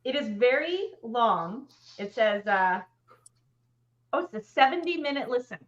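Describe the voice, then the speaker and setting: A middle-aged woman with a fairly high voice co-hosts a casual live chat. She is on a video call from home, and the sound is a little dull. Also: expressive, measured pace, fairly bright tone. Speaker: co-host. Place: furnished room at home, indoors.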